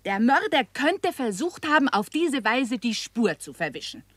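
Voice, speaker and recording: A woman's voice: on the high side, big pitch swings, moderate pace, a bit bright, no room sound. A woman playing a seductive, lonely Hollywood actress in a 1960s German radio crime drama, coquettish and insistent. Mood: uneasy, probing. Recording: 1960s radio play with background noise.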